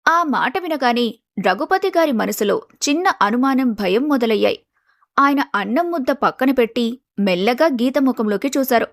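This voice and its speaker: High-pitched woman storyteller. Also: expressive, normal speed, no echo, studio quality. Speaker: story narrator. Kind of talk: scripted storybook narration.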